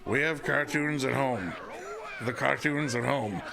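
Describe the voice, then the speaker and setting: A man co-hosting with wry, casual banter in a creaky voice. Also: medium pitch, measured pace. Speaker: show co-host.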